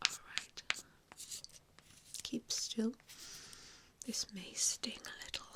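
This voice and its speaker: A woman voicing a kindly, apologetic vampire in a whispered ASMR roleplay, hesitant and reassuring as she tends to a patient. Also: takes it slowly. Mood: gentle, caring. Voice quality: soft breathy whisper. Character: nice, apologetic female vampire tending a newly bitten patient.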